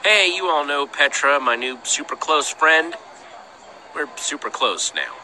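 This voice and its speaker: A man's voice: on the high side, a little slow, bright, forceful tone, a little hiss or hum, low-quality recording. A man voicing an eager, good-natured young male hero in a video game, earnest, boyish and jokey. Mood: eager, upbeat. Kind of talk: animated game dialogue.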